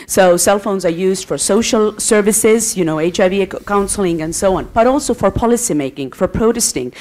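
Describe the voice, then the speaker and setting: A woman's voice: medium pitch, a little mellow. A woman making an audience comment at a conference, speaking hesitantly with many ums. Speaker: audience member.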